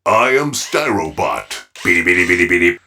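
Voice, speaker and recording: A low-pitched, literal-minded robot helper acted out by a man in a comedy sketch. He talks in stiff, mechanical phrases, with music clearly playing behind him. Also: sing-song, measured pace, full character voice. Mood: stiff, obliging. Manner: stilted robotic phrasing, chanting beeps.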